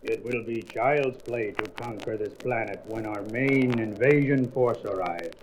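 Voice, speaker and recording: A man's voice: on the low side, measured pace, close to an everyday voice. A man playing an eager, boastful stone alien soldier with stilted, declamatory delivery in a comic-book audio drama. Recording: faintly noisy old record.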